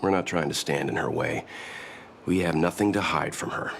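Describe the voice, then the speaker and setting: A man playing a calm, prudent middle-aged mentor in a video game, speaking in a measured, reasoned and reassuring way. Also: low voice, slight background noise. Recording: music behind the voice.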